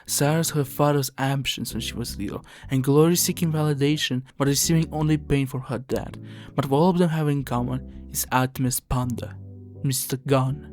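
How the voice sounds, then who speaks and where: A man's voice: mid-range voice, darkish tone. A man reading scripted video essay narration in an earnest, somber, explanatory way. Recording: music under the voice.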